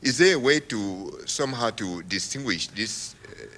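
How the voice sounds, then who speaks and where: A man from Cameroon asking a question from the audience at a public talk, halting with many restarts and laughing as he talks. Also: on the low side, animated, pushed and bright. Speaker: audience member. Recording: reverberant hall.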